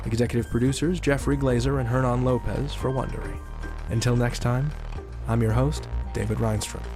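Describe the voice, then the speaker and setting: Man, podcast host, mid pitch, scripted announcer delivery, playful, theatrical, clear music bed. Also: darkish tone.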